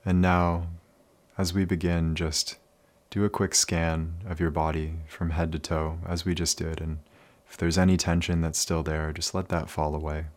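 A man leading a guided meditation in a calm, soothing, unhurried manner with many pauses. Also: low-pitched.

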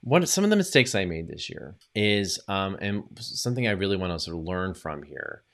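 A man's voice: low-pitched, very animated, normal speed, fairly bright tone. A man hosting his own podcast, talking in an upbeat, chatty solo monologue with fillers and self-corrections. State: recovering from lost voice. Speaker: podcast host and social media coach.

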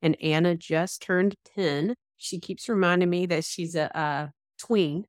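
A woman's voice: lowish voice, fairly even intonation, measured pace, no echo, clean studio sound. A woman in her thirties from Georgia with a Southern accent, talking easily and poking fun at herself as a podcast guest. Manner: easygoing, laughs, self-deprecating. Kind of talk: casual interview chat.

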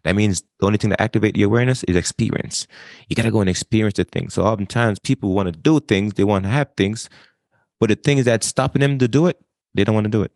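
A man, talking as an interview guest in an energetic way, often repeating and restarting phrases. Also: lowish voice, quick pace, dry recording, clean studio sound. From Jamaica. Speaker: guest, wisdom coach. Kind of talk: casual interview talk.

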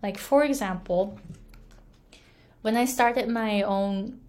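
Japanese-accented woman, unhurried coaching vlog, earnest and chatty with "right?" tags. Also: medium pitch, a little mellow. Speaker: English pronunciation coach. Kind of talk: casual motivational talk to camera.

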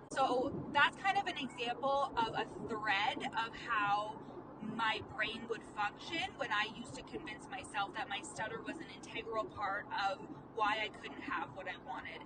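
A young woman hosting a casual monologue while driving, candid and quick, full of "like" and the odd curse. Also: fairly high pitch. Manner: candid, fast, peppered with "like" and profanity. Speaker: podcast host and coach. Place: inside a car. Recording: distant mic with road noise. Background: car and street noise.

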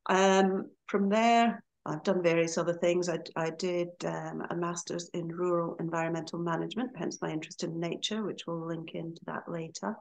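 A woman's voice: lowish voice, fairly even intonation, moderate pace, creaky, hardly any pauses. A middle-aged woman from Scotland with an Aberdeen accent, chatting as a guest on a podcast and laughing easily as she talks. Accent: Scottish, Aberdeen. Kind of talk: casual interview chat.

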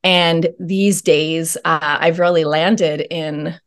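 A woman talking as an interview guest in a thoughtful, earnest way with many pauses and ums. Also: low voice, fairly even intonation, smooth. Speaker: podcast guest. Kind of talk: reflective interview talk.

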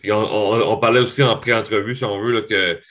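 A man with a Québécois French accent hosting a casual interview, asking questions in an informal, halting way with many restarts. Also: fairly low pitch, steady tone, fairly bright tone. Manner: halting, repeats words, informal. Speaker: interviewer, host.

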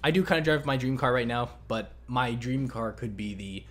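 A man hosting a casual question-and-answer chat on investing, talking in a relaxed, rambling way with many fillers and restarts. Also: mid-range voice, normal speed. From Canada. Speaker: investing channel host.

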